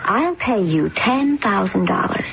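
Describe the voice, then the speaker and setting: A cool, calculating young heiress talks in an even, controlled way as she plots a murder in a classic radio drama. The broadcast sounds dull and muffled, with clear hiss behind it. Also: mid-range voice, measured pace. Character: young rich woman scheming murder. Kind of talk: 1970s radio drama acting.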